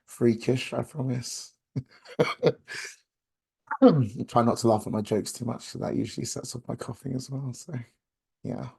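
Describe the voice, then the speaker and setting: A young man with a hoarse voice from a chest infection, presenting a webinar over a video call in a halting, friendly way with many filler sounds. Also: medium pitch, fairly even intonation, warm tone. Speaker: outreach coordinator.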